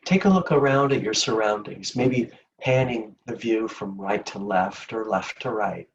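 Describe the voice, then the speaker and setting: A man leading a guided meditation in a gentle, soothing, unhurried manner with long pauses between phrases. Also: on the low side, distant mic, muffled recording.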